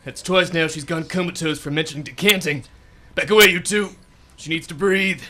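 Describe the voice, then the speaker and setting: A man's voice: fairly high pitch, a little fast, sharp tone. A man in a student radio drama playing a prim, formal detective who narrates and keeps sounding exasperated.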